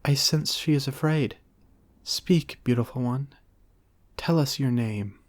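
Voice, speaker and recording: A man voicing a serene, gentle female lamb spirit from a storybook, speaking formally and with calm reassurance. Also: mid-range voice, slow pace, dry recording, voice pushed up, close to an everyday voice. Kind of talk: storybook character reading.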